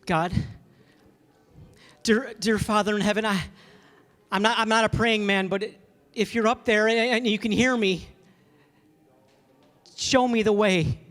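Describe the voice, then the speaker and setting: Man acting a high-voiced, desperate family man. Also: steady tone, fairly bright tone. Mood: despairing prayer. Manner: halting, stammering, repeating words. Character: desperate, frayed family man at breaking point. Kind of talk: radio drama acting. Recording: echoey hall, stage reverb.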